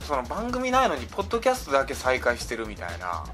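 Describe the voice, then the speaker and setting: A man's voice: mid-range voice, wildly swooping pitch, fast talker, fairly bright tone, soft background music. A man hosting a podcast in casual, jokey, self-deprecating banter. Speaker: podcast host.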